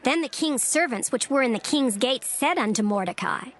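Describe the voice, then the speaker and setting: A woman narrator reading dramatized scripture in a measured, formal storytelling manner. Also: sits high, expressive, some chatter behind.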